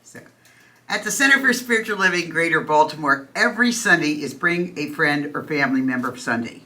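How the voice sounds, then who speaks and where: A woman's voice: low-pitched, animated, brisk, bright, forceful tone. A woman hosting a church service at a stand microphone, chatty and self-deprecating, with loose fillers. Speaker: host. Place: church meeting room.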